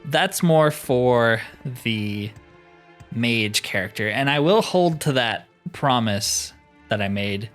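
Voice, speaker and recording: A man's voice: medium pitch, lively intonation, slow, a bit bright, soft background music. A man giving casual, informal commentary on a game playthrough, musing aloud to viewers with mild exclamations. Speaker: gaming channel host.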